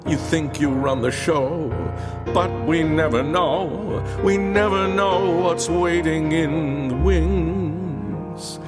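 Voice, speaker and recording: A wistful, devoted theater owner singing a show tune. His voice sits fairly high and unhurried, over loud musical accompaniment. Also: steady tone, over loud music.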